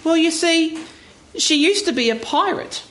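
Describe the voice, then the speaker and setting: A woman's voice: extremely high pitch, animated, measured pace, a bit bright, full character voice. A woman playing a meek, dutiful office clerk in a radio play, speaking politely and hesitantly. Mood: timid, pleading. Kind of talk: stage radio play acting. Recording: roomy live studio, faint noise.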